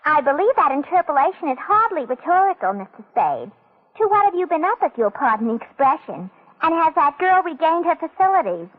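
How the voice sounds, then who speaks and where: A woman's voice: high-pitched, sing-song, fairly quick. An actress playing a prim, wordy secretary who teases her boss in a 1940s radio drama. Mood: prim teasing. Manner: prim, fussy wordy diction. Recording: tinny old radio recording.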